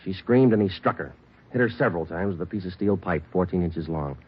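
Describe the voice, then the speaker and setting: An actor playing a calm, relentless police sergeant interrogating a suspect in a 1940s radio crime drama. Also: male voice, sits low, fairly quick, slight background noise. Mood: steady. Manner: clipped, matter-of-fact questioning. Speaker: police detective. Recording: dull lo-fi old radio broadcast.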